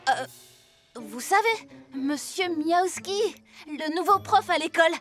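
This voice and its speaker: A very high, lively voice of a small boy superhero in a kids' cartoon. He is earnest and excitable, and music plays clearly behind him. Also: slow pace, full character voice. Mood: sheepish. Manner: earnest, excitable, sometimes sheepish. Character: six-year-old boy superhero, attached to his cuddly toy. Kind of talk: animated children's cartoon dub.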